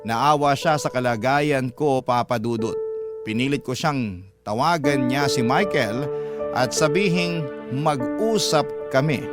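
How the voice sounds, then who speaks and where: A man narrating a scripted first-person dramatic story in the style of a radio storyteller. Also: medium pitch. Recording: loud music under the voice. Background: loud music.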